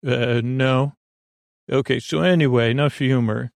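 Male podcast host, fry-heavy voice in a dry recording, wandering and hesitant bedtime rambling. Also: medium pitch, steady tone, no echo. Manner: meandering, halting, trails off into tangents and self-questioning. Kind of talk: rambling monologue for a sleep podcast.

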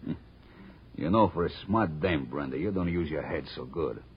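A man's voice: rumbling low, lively intonation, moderate pace, slight background noise. An actor playing a fat, menacing loan shark in 1940s radio crime style, with oily broken tough-guy grammar. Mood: smug. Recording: muffled old-time radio recording.

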